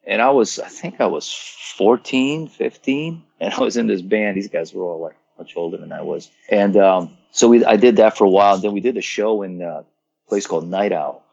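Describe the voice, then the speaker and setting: A fairly low-voiced man in his fifties, originally from Croatia, talking as an interview guest and animatedly reliving old stories. His voice comes over a dull, muffled, lo-fi line that sounds like a phone call. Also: normal speed, gravelly, no echo. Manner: animated storyteller, swearing, acting out quotes. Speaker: rock singer, interview guest.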